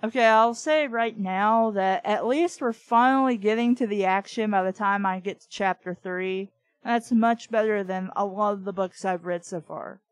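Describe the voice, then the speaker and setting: A woman's voice: mid-range voice, normal speed, dry recording. A woman reading a children's storybook aloud and breaking off with opinionated, nitpicking comments. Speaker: amateur reader and commentator of children's books.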